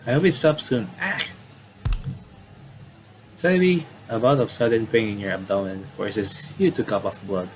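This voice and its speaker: A man's voice: fairly low pitch, a bit bright, slight background noise, poor audio. A man commentating on a game playthrough, casually reading story text aloud and often stumbling and rereading phrases.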